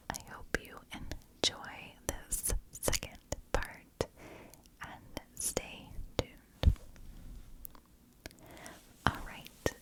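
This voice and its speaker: A woman telling a story as whispered ASMR narration, hushed and in short phrases. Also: low-quality recording. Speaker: ASMR true crime storyteller, channel host.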